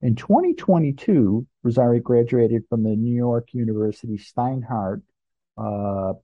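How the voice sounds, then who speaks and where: A man's voice: medium pitch, measured pace, mellow, dry recording. Male podcast interviewer, mid-range voice, conversational questioning, dry but somewhat dull recording.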